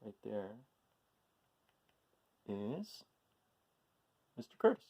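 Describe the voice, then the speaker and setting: Gravelly, animated man reading a picture book. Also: on the low side, very slow. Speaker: children's book read-aloud host. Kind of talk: expressive storybook reading with casual asides.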